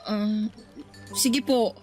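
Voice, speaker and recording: A woman's voice: medium pitch, fairly even intonation, very slow, darkish tone, faint music behind. An actress playing a polite but evasive young working woman in a Filipino radio drama, defensive and pleading with her elder.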